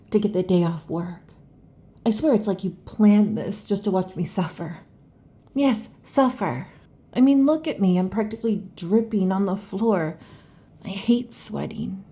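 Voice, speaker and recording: A woman voicing a restless, irritable female snake-tailed lamia in an ASMR roleplay, huffy and dramatic, often slipping into soft whispers. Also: fairly low pitch, husky. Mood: exasperated.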